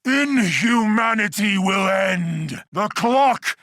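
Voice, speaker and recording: Video game supervillain, bitter and righteous, slow deliberate speech, slightly high male pitch, dry close sound. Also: expressive, fairly bright tone, no echo, raised voice, heavily acted. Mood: raging, triumphant. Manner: grandiose, deliberate, theatrical delivery. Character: male mutated mastermind villain, bitter, grandiose, righteous, menacing. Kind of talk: video game villain voice acting.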